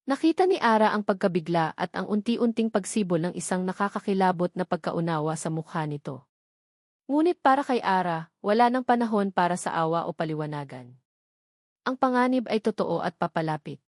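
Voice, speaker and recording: A woman's voice: on the low side, animated, a little fast, darkish tone, no room sound. Dry-sounding woman narrating melodrama. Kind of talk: dramatic scripted story narration.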